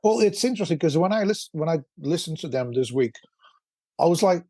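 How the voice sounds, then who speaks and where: A man's voice: a little high, animated, brisk, warm tone. A man from Brazil co-hosting a podcast in casual chat, hesitant with fillers and restarts. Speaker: podcast co-host. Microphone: video call.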